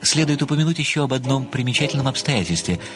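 An actor playing an earnest pensioner, an amateur detective and devoted admirer, speaking with formal, deferential precision in a theatrical audio drama. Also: male voice, medium pitch, animated, quick pace, natural voice. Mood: deferential, insistent. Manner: formal, deferential, precise, declamatory when enthused.

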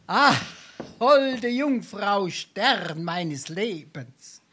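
Brazen sponger and tippler for dialect comedy; Darmstadt accent, florid, theatrical, silver-tongued. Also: male voice, high-pitched, animated, extremely slow, bright, forceful tone, breathy edge, full character voice. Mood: mock-romantic wooing. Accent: South Hessian, Darmstadt dialect. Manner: florid mock-pompous speech, theatrical sighs. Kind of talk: dialect stage comedy acting.

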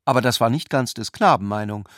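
A man's voice: mid-range voice, fairly quick, fairly bright tone, no echo, clean studio sound. A man delivering scripted narration of a literary work as a radio play narrator.

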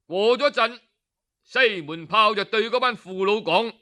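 A man telling a traditional folk tale in a measured, expressive way. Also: high voice. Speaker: storyteller.

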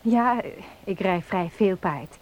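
A woman's voice: mid-range voice, slow pace, lightly acted. An actress playing an earnest, devout and resolute young woman in a radio drama, with polite, formal diction. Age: young adult. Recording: noisy old radio play recording.

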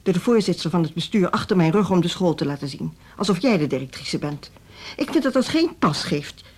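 A woman playing a proud, stern middle-aged music school director in a radio drama, speaking in formal, measured sentences. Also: on the low side, expressive, breathy edge. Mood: stern, aggrieved. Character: proud, stiff older female music school director, easily slighted.

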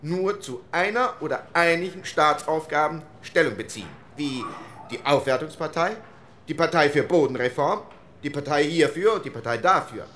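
A man's voice: on the high side, normal speed, a bit bright, a little hiss or hum, natural voice. An actor playing an argumentative male delegate in a 1940s parliamentary debate, speaking emphatically. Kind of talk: acted debate from historical minutes.

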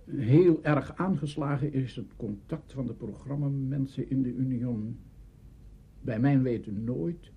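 An older man with a Netherlands Dutch accent, reminiscing in an interview in a deliberate, halting way, searching for words. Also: mid-range voice, dark tone, husky. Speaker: interviewee recalling early radio drama. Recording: dull, slightly noisy interview recording.

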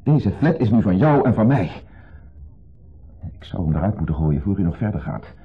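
An actor playing an insecure, jealous husband in a 1970s radio play, accusing, brooding and sardonic. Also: male voice, deep voice, sing-song, moderate pace, breathy edge, exaggerated voice. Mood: bitter, agitated. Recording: muffled phone-like old radio recording.

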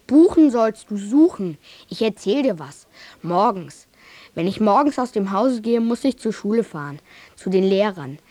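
Cheeky schoolchild in a dry studio. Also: female voice, mid-range voice, big pitch swings, normal speed, mellow, dry recording. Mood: matter-of-fact. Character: cheeky, blunt schoolchild who needles adults. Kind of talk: radio drama acting.

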